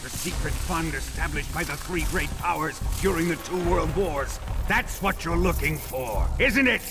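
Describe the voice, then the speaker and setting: An actor playing a sadistic, bombastic colonel villain in a video game cutscene, a theatrical, menacing interrogator with a gravelly voice. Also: male voice, fairly high pitch, expressive, moderate pace. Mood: aggressive, roaring. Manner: menacing, theatrical interrogator, swinging from gloating to roaring. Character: sadistic, bombastic male military colonel villain with electric powers. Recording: dramatic music behind the voice.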